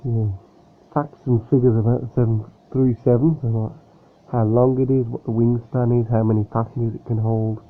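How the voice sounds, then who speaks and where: A man chatting casually while unboxing a model aircraft, speaking softly with lots of fillers and sounding slightly nasal from a cold. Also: mid-range voice, little pitch movement, normal speed. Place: room at home with a fan running. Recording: tinny phone-like sound with faint fan hum.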